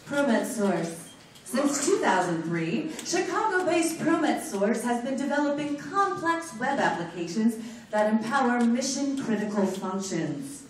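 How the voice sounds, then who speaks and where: Loud, animated woman announcing sponsors. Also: a little high, unhurried, distant mic. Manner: loud, animated, joking asides. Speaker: conference organizer announcing sponsors. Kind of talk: playful live announcements to an audience. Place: large conference room with an audience. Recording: echoey hall recorded from afar, crowd noise.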